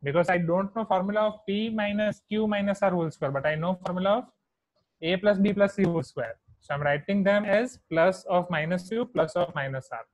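A man with an Indian accent teaching a maths class online, dictating each term slowly as he writes on a whiteboard. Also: high voice, no room sound, rough recording. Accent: Indian English. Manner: slow, deliberate dictation of terms while writing on a whiteboard.

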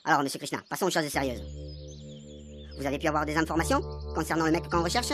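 An actor playing a sarcastic, irritable police commander in a comic radio soap. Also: male voice, on the high side, steady tone, fast, music in the background, close to an everyday voice. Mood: businesslike. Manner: irritable, sarcastic, hesitant when cornered.

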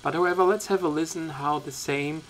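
A man's voice: a little high, fairly even intonation, a little fast, a bit bright, a little hiss or hum, low-quality recording. A man narrating a tech review in a casual way.

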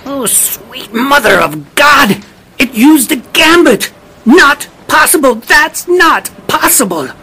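An actor playing a flustered project scientist in a steampunk audio drama, halting and given to exclaiming. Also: high-pitched, sing-song, sharp tone, exaggerated voice. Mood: shocked.

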